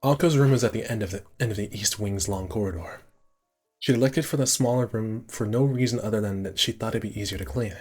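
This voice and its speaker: A man's voice: lowish voice, fast, a little mellow, faint music behind. A man casually streaming a visual novel, reading the narration aloud and correcting himself with muttered asides.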